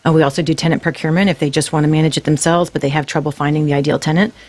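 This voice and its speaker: A woman from Florida talking as a real estate broker on a radio show, measured and explanatory with frequent ums. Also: low voice, steady tone, darkish tone. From Gainesville, Florida. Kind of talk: radio talk show guest. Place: radio studio.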